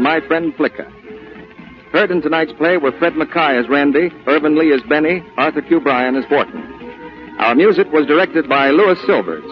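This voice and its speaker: A male radio announcer with a slightly high voice reads formally in 1940s style. Music plays behind him on a muffled old recording.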